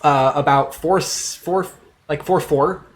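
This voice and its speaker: A man's voice: on the high side, unhurried, a little hiss or hum. Rambling man running a tabletop game. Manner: rambling, many fillers and restarts. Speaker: game master. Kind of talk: narrating a tabletop game over a voice call. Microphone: headset over a voice call.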